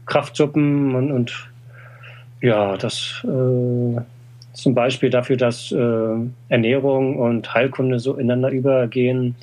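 A man's voice: medium pitch, fairly even intonation, takes it slowly, darkish tone. A middle-aged man in an interview, explaining Chinese medicine with many fillers and tag questions. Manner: explanatory, frequent "äh" and "ne?". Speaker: TCM practitioner. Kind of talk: conversational interview.

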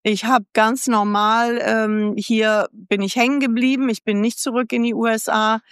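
Chatty older woman, smooth and close. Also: fairly high pitch, fairly even intonation, moderate pace, no room sound. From United States. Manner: candid, chatty storyteller who acts out past dialogue. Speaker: podcast guest. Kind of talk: casual life-story interview.

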